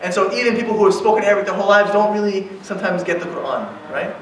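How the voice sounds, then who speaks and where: A man's voice: high-pitched, racing, fairly bright tone, slight background noise. A man giving an informal religious talk to young adults, speaking conversationally and often checking in with a questioning "right?". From United States. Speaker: guest lecturer at an Islamic center. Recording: very echoey hall, distant mic.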